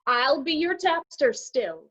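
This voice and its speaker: A woman's voice: high-pitched, big pitch swings, slow pace, fairly bright tone, exaggerated voice. A woman playing a cheeky, streetwise male servant in a staged Shakespeare comedy reading, with jesting patter. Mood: jaunty, consoling.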